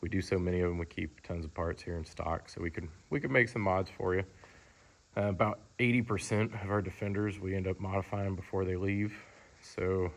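Deep, gravelly man giving a casual walkaround. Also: fairly even intonation, fairly quick. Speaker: car dealer presenting a vehicle. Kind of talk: casual off-the-cuff sales walkaround. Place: large indoor car showroom. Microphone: handheld camera, speaker behind it.

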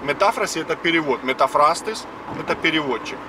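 50s guy explaining words while he drives, slightly high voice, dashcam mic far off, loud road noise. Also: expressive, quick pace. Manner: chatty, explains word by word. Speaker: language lesson host. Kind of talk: casual spoken lesson while driving. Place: car on the road. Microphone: dashboard camera.

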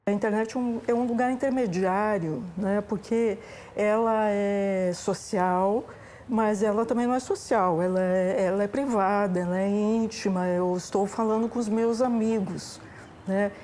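A woman's voice: medium pitch, fairly even intonation, unhurried, warm tone, slight background noise. A middle-aged Brazilian woman explaining conversationally as an interviewed expert, often adding tag questions, on a lapel mic indoors. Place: indoors, library room.

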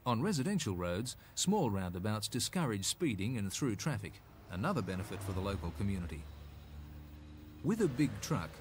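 A man's voice: on the low side, sing-song, moderate pace, a little hiss or hum. A man with an Australian accent narrating a scripted 1980s road safety film in an instructive, slightly folksy way.

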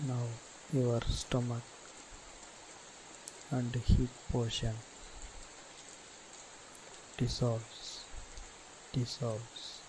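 A man leads a guided meditation at an extremely slow pace. His delivery is soothing and deliberate, with little rise or fall in pitch and long gaps between short phrases. A faint hiss sits behind the voice. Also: monotone.

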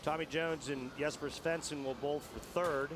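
A man analyzing bowling shots for a TV broadcast, talking through technique in long explanations with a slightly raised pitch. Clear arena background noise sits behind him. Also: steady tone, normal speed. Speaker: color commentator. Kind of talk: sports color commentary.

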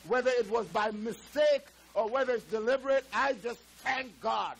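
A man in his seventies, from Trinidad, preaching a sermon on a church stage through a headset mic, stretching words and breaking into shouted exclamations. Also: very high voice, normal speed, creaky. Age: 70s. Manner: moves and gestures around the pulpit. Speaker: pastor. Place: church stage with drum kit.

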